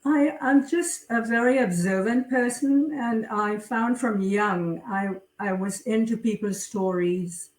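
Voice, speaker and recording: A middle-aged woman from Trinidad, an author talking about her writing in an interview, slowly, with many fillers and pauses. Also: a little high. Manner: slow, hesitant, many fillers. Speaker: guest author. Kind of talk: interview conversation. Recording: roomy, distant from mic.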